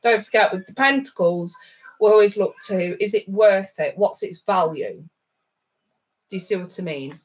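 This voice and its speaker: A woman's voice: mid-range voice, moderate pace, low-quality recording. Blunt, stuffed-up woman reading tarot. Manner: blunt, swears freely, chatty asides. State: stuffed-up nose. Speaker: tarot reader. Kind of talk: casual tarot card reading.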